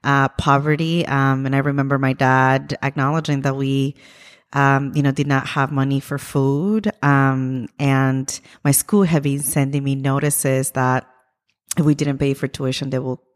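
A woman's voice: extremely low pitch, fairly even intonation, a little slow, in a large hall. A woman in her thirties from Ecuador, answering interview questions earnestly and reflectively, with frequent ums and uhs. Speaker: guest, immigrant rights leader.

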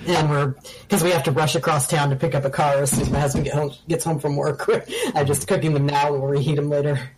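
A woman's voice: low-pitched, steady tone, quick pace, few pauses. American woman in her 40s, podcast guest, laughs all the time, bit of background hum. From United States. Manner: chatty, laughs often mid-sentence. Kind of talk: casual chat.

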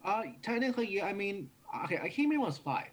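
A young man from New York City, a martial arts instructor and guest, talking in quick run-on sentences with restarts, laughs and blunt swearing. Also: high-pitched, sing-song, a crowd in the background. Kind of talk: casual interview.

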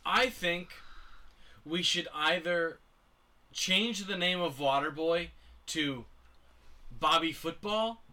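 A man's voice: fairly high pitch, slow, pauses often, sharp tone. A man co-hosting a movie podcast, reading out cast lists with hesitant ums and uhs. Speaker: podcast co-host. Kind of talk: casual chat.

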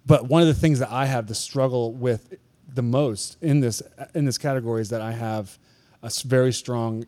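A man's voice: medium pitch, normal speed, a little mellow, dry recording. A man co-hosting a church podcast, talking candidly and confessionally in casual chat, often circling back and restarting his sentences.

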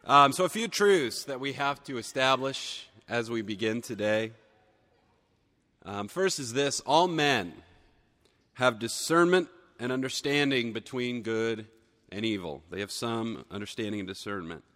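A man preaching a sermon, a little hoarse from a cold, earnest and building emphasis on key phrases. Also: mid-range voice, lively intonation, unhurried, sharp tone, long reverb tail. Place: church sanctuary.

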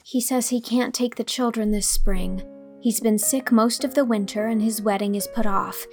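A woman's voice: on the high side, moderate pace, faint music behind, close to an everyday voice. A woman voicing an eager, earnest young woman.

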